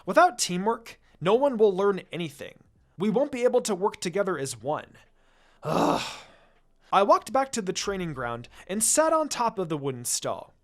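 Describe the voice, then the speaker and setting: Someone reading fanfic out loud, pitch goes all over, story narration style. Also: very animated, moderate pace. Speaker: fan fiction reader. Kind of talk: scripted story narration, reading dialogue aloud.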